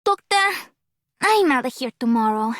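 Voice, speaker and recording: A woman's voice: high voice, sing-song, measured pace, fairly bright tone, no echo, clean studio sound, full character voice. A woman playing a bubbly, mischievous young woman in a video game, playful and theatrical. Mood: playful, teasing. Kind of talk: video game character voice acting.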